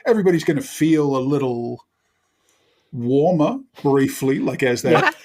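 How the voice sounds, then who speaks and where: A man with a medium-pitched voice, playing a tabletop role-playing game. He talks casually and improvises as he goes, with a wry, mock-formal turn of phrase. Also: sing-song, measured pace, darkish tone, slight background noise. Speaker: tabletop RPG player.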